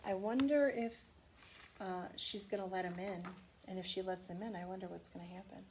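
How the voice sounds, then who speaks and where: A woman reading a storybook aloud to children, expressively, pausing to wonder aloud and explain things simply. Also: mid-range voice, steady tone, darkish tone, slight background noise. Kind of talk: children's read-aloud with asides to the listener.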